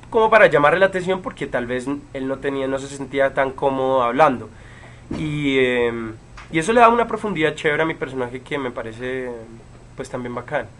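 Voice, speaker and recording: A man in his twenties, from Chile, casually answering fan questions on a livestream from a room at home, relaxed, with many fillers and pauses. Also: mid-range voice, slight background noise. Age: 20s. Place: home room with bookshelves, indoors. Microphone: webcam.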